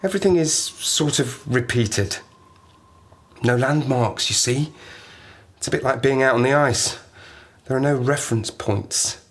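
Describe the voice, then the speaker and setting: A man's voice: medium pitch, unhurried, close to an everyday voice. An actor playing a lonely, half-mad plane-crash survivor in an audio drama, rambling and self-mocking as he talks to himself. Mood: wistful, rambling. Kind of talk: audio drama monologue, diary-like.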